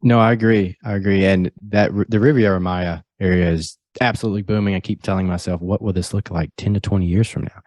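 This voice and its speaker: A man with a low, warm, mellow voice speaks as a guest on a podcast. He sounds earnest and motivational and keeps a steady delivery. The sound is dry and close, with no room echo. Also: fast, few pauses, muffled recording. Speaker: podcast guest, real estate broker. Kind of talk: casual interview chat.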